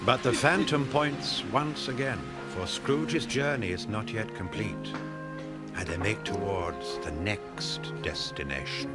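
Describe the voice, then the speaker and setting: An actor as a dramatic storyteller, delivering scripted narration for a radio drama. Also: male voice, lowish voice, takes it slowly, over loud music. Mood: grave. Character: dramatic male storyteller narrating a ghostly tale.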